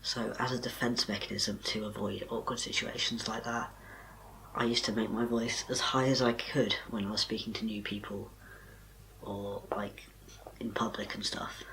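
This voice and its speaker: A young man, talking casually to camera in a soft, quiet, breathy voice with little power behind it. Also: lowish voice, flat delivery, moderate pace, slight background noise, low-quality recording. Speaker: vlogger.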